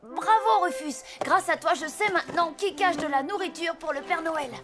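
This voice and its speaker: A woman's voice: very high-pitched, moderate pace. A woman playing an earnest, clever young boy in a French children's cartoon. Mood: curious, excited. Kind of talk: French animated cartoon dub. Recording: loud music behind the voice.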